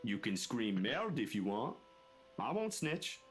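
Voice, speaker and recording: A man's voice: medium pitch, very animated, a little slow. An actor voicing a laid-back, considerate, self-deprecating young man with casual, folksy phrasing in a visual novel. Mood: gently concerned. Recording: music behind the voice.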